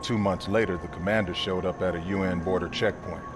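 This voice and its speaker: An actor playing a seasoned, weary soldier in a video game, speaking in a wry, matter-of-fact way. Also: male voice, sits low, steady tone, a little fast, over music, lightly acted. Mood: grim, matter-of-fact. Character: seasoned, weary male soldier telling grim past missions. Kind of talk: video game cutscene acting. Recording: loud vehicle and outdoor noise behind.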